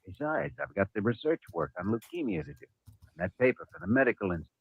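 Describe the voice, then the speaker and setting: Casting: an earnest doctor in vintage radio melodrama, low voice, very animated, thin phone-like sound. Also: male voice, fast, creaky, breathy edge, no room sound, full character voice. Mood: earnest, insistent. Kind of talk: 1950s radio drama acting. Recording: tinny, band-limited vintage radio recording.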